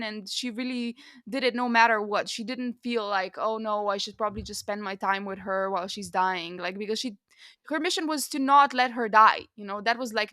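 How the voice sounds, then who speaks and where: A woman's voice: a little high, bright, forceful tone, no echo. A woman co-hosting a podcast, chatting casually and expressively about books. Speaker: podcast co-host. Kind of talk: casual book discussion.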